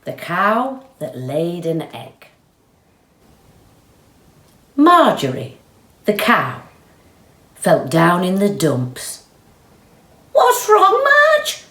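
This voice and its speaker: A woman reading a children's storybook aloud with expressive, deliberate delivery. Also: deep voice. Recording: roomy, echoey sound.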